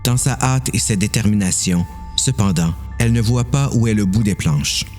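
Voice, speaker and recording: A man's voice: on the low side, moderate pace, darkish tone, faint music behind. A man with a Quebec French accent narrating a dramatised horror story.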